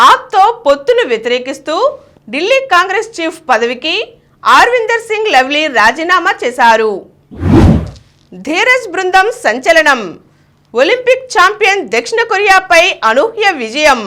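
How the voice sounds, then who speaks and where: A woman with a high, bright voice reads scripted news as a bulletin presenter. Her carries through a lively delivery, with pitch that rises and falls widely. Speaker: news reader.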